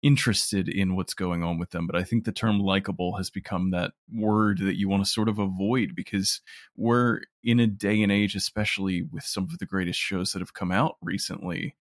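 A man's voice: low voice, steady tone, moderate pace, no echo, studio quality. A man in a casual interview, explaining his opinions conversationally as a producer. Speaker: audio drama producer, interview guest.

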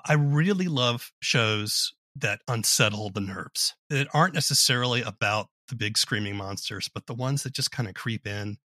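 A man's voice: medium pitch, moderate pace, few pauses, bright, forceful tone, no echo, studio quality. A man hosting a podcast, speaking in a conversational interview style.

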